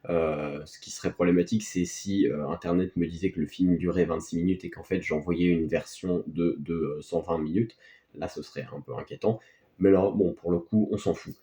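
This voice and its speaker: A man's voice: low-pitched, steady tone, moderate pace, dark tone. A man gives casual, unscripted film commentary as a video host, in a hesitant, rambling, offhand way. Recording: echoey, roomy sound.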